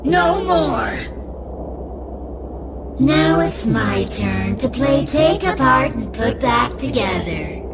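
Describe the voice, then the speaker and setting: Very slow, deranged fox animatronic. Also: female voice, high-pitched, big pitch swings, vocal fry, over music, full character voice. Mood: plaintive, deranged. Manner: theatrical, drawn-out, menacing. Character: broken female fox animatronic, theatrical, sweetly deranged. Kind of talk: video game character voice lines.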